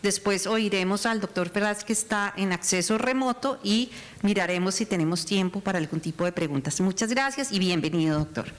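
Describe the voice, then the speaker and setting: A woman moderating a conference panel, speaking in a measured, formal way. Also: medium pitch. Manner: measured, formal, occasionally restarts a phrase.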